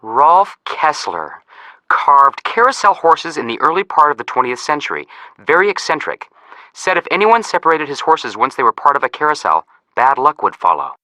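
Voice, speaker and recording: A man's voice: a little high, huge pitch swings, moderate pace, pushed and bright, no echo, exaggerated voice. A man playing a sarcastic young amateur detective, a joking younger brother, in a video game. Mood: upbeat, joking. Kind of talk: scripted game dialogue.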